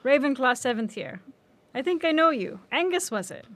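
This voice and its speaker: A woman voicing a brisk, self-assured teenage witch schoolgirl in a story read aloud. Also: high-pitched, very animated, fairly bright tone. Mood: friendly.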